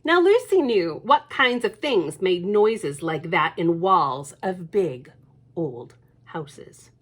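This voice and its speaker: Slow, expressive children's storytime reader. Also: female voice, lowish voice. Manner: gentle, with whispered asides to children. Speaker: children's librarian. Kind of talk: storytime read-aloud for children. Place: library.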